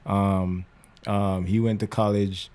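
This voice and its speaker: A man with a Jamaican accent, a musician turned minister, telling stories in a relaxed interview with many fillers and restarts. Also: on the low side, takes it slowly. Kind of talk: candid conversational interview.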